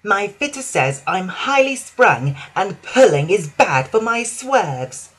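A man voicing a vain, lazy female diesel railcar in a high, strained falsetto. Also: big pitch swings, takes it slowly, fairly bright tone, husky, heavily acted, rough recording. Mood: indignant.

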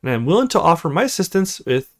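A man voicing a small, timid, earnest young woman from a visual novel in a gentle, apologetic manner. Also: a little high, sing-song, quick pace, voice pushed up.

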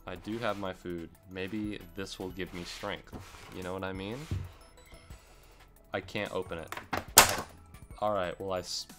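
Hoarse, gravelly young man streaming. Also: low voice, flat delivery, measured pace, pauses often, loud music behind the voice. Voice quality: gravelly from screaming. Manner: rambling, swears when frustrated. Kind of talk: live gameplay stream chat. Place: home room.

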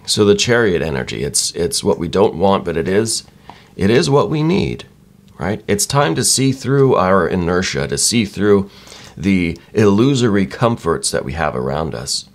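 Low-voiced man musing over tarot cards. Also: sing-song, moderate pace. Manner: musing, repeats "right?" often. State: slightly croaky. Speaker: tarot reader. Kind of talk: soft-spoken tarot reading.

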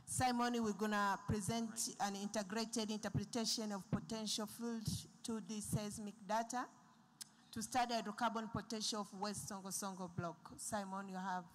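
Female moderator, brisk and formal, echoing conference hall. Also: medium pitch, level tone, slight background noise. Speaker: session moderator. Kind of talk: formal introduction.

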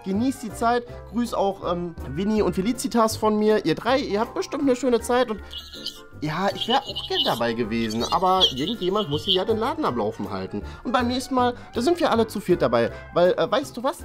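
A man's voice: high voice, sing-song, a little fast, music in the background. A young man playing a blustering, flustered middle-aged father in an improvised family sketch, stammering as he goes. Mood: reassuring. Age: young adult.

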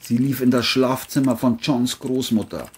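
A man's voice: medium pitch, steady tone, moderate pace, slightly rough. A man narrating a scripted crime story as an audiobook-style reading.

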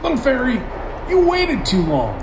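A bold, bossy tugboat from a picture book, played by a man, speaking emphatically in a raised pitch. The sound has the echo of a room. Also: wildly swooping pitch, measured pace, noisy background, exaggerated voice. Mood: scolding. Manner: high, emphatic, scolding.

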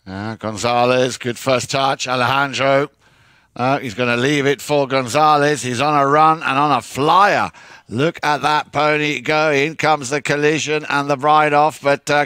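Smooth-voiced polo play-by-play man. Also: mid-range voice, no echo. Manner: frequent uh fillers, rising excitement on plays. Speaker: play-by-play commentator. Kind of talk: live polo commentary.

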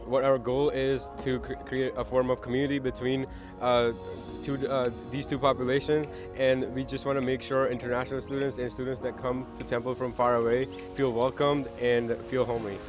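A man's voice: mid-range voice, level tone, brisk, over music. A young man, a student association president, answering interview questions outdoors, hesitant with frequent fillers. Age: young adult. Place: outdoor campus lawn.

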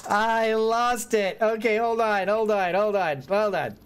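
A man's voice: high voice, slow pace, clear, resonant tone. A man live-streaming a game playthrough from a home setup, reading the dialogue aloud, giggling and mocking himself as he fumbles the character voices. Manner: giggly, self-mocking, breaks into laughter. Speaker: let's-play streamer.

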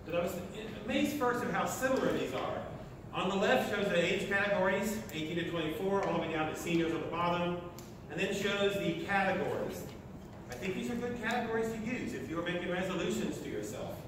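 A man's voice: sits high, animated, a little fast. A sixty-year-old American man from Oklahoma giving a motivational talk to an audience in short, emphatic phrases, recorded from far off in a large lecture hall. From Oklahoma, United States. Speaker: speaker at a sustainability meeting. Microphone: distant camera at the back of the room. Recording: echoey hall from far off, noisy.

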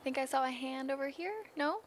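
A woman's voice: sits high, a little fast, a little hiss or hum. A woman moderating an audience question and answer session on an outdoor stage. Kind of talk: hosting an audience Q&A.

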